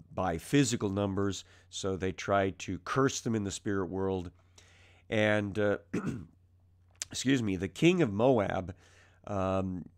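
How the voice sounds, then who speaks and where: A man with a strained, raspy voice while ill, teaching the Bible verse by verse from his basement, calm and conversational with frequent fillers. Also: on the low side. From United States. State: ill with mild symptoms. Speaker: preaching minister. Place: home basement.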